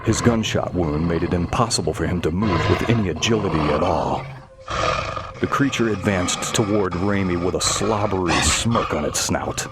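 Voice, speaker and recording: A man narrating a scripted horror story in a measured, dramatic way. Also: low-pitched, heavy background noise.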